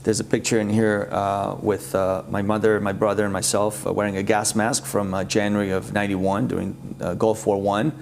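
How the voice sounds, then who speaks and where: A man's voice: on the low side, little pitch movement, moderate pace, creaky, few pauses, darkish tone, a little hiss or hum. A man, a guest in a conversational interview, explaining things and listing figures. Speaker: talk show guest.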